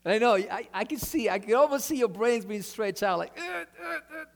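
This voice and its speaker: High-voiced man preaching with fervor. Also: normal speed, vocal fry. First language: Spanish. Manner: animated preaching, repeats words, asks amen. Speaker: pastor. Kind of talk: sermon.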